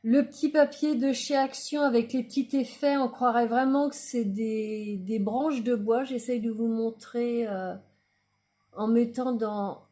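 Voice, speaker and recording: A woman talking casually through a card-making tutorial, chatty and hesitant, often trailing off with fillers as she works. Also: a little high. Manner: quiet, murmured while working on the craft. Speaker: crafting YouTuber.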